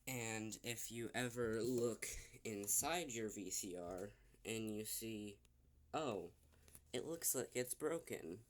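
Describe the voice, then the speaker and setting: Slow, halting man explaining, lowish voice. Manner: halting delivery in short broken phrases with pauses. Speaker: video presenter explaining technology. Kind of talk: unscripted explainer, demonstrating to camera.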